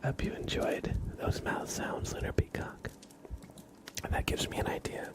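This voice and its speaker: A man hosting an ASMR livestream, whispering softly and slowly to his viewers in chat, close to the microphone. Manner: soft, slow, unhurried, chatting to viewers. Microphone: close microphone, leaned into.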